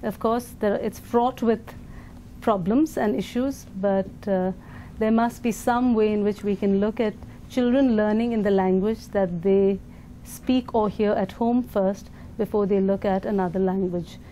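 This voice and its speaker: Thoughtful Indian woman, mellow voice. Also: medium pitch, fairly even intonation, a little slow, slight background noise. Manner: thoughtful, hesitant, many fillers and restarts. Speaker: education foundation leader, interview guest. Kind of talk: conference interview answers.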